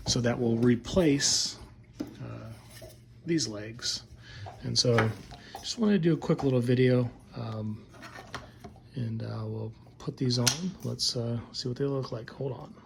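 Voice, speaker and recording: A man's voice: mid-range voice, vocal fry, noisy background. A man giving a casual, unscripted hobby product demo in a hesitant, rambling, plain-spoken way. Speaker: hobbyist video presenter.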